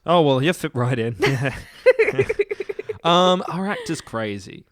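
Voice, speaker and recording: A man's voice: a little high, animated, takes it slowly, a bit bright, no room sound. A man chatting casually as a podcast guest, with dry, sarcastic comic banter.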